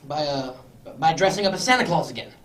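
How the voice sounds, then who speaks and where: A woman's voice: low-pitched, animated, fast talker. A woman playing a polite, hesitant, puzzled lord in an amateur radio drama. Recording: distant microphone, background noise.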